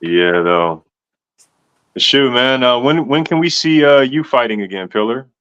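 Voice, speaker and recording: A man's voice: mid-range voice, lively intonation, a little slow, fairly bright tone, smooth, no echo, highs cut off. A man in his thirties hosting a casual interview, laid-back and slangy, calling people man and bro. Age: 30s. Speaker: host.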